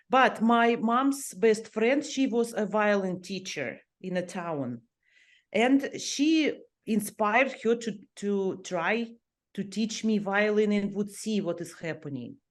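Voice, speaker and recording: A Russian-born woman, a violinist and music teacher, speaking English as a second language with a Russian accent, pausing often as she searches for words. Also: mid-range voice, darkish tone. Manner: hesitant, searching for English words, many pauses. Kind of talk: personal interview talk.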